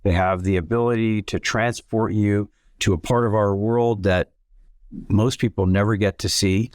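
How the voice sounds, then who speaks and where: A man in his sixties with a fairly low voice talks as an interview guest. He tells his story conversationally, in a measured and earnest manner. The sound is dry and close, free of echo. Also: fairly even intonation, darkish tone. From Canada. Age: 60s. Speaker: interview guest, submarine company founder.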